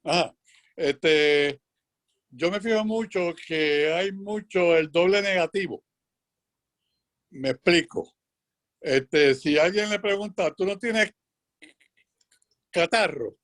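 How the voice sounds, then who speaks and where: A man's voice: sits high, takes it slowly, pauses often, fairly bright tone. A man with a Puerto Rican accent, a club member asking a question on a video call, working through his point carefully with fillers. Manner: careful, thinks aloud with fillers.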